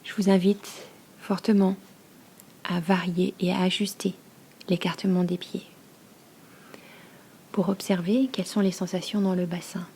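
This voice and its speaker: A woman leads a guided meditation as a yoga nidra teacher, speaking slowly in a hushed, soothing manner with long pauses and a soft, breathy voice. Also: lowish voice, little pitch movement, a little hiss or hum.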